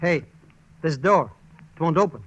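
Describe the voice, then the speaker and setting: An actor playing an excitable, wisecracking male sidekick in an old radio adventure drama, slangy and theatrical. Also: on the high side, a little slow, noisy background. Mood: alarmed. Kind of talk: 1940s radio drama acting. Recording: old radio recording, music underneath.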